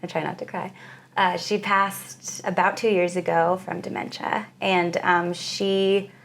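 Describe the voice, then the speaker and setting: A young woman with a very low voice, a guest musician talking in a casual, halting interview with lots of fillers. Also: level tone, creaky, fairly bright tone. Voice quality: very low female voice. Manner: reflective, halting, many fillers.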